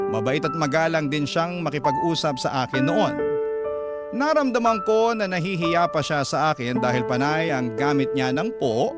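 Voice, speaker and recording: A man's voice: a little high, lightly acted. A man with a Filipino accent narrating a parent's letter in a radio drama, in a sentimental storytelling manner. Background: prominent music.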